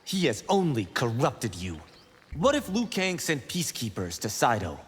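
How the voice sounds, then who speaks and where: An actor playing a proud, defiant working-class fighter in a video game, challenging and earnest. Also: male voice, mid-range voice, sing-song, moderate pace, breathy edge. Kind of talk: video game character acting. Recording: long reverb, faint background noise.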